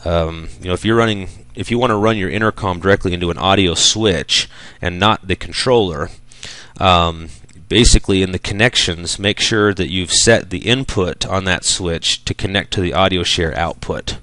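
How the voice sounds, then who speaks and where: A man with a low voice presents a software tutorial in a casual, plain way. He explains things step by step. The sound is close and dry, with hardly any room in it. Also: moderate pace, no echo.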